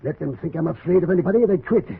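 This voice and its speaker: Domineering husband, gravelly old radio voice. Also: male voice, on the high side, lively intonation, very fast, narrow, tinny audio, exaggerated voice. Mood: curt, irritated. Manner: blustering, barking orders. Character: short-tempered, domineering island planter husband, increasingly uneasy. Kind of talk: old-time radio drama acting. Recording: thin, noisy vintage radio recording.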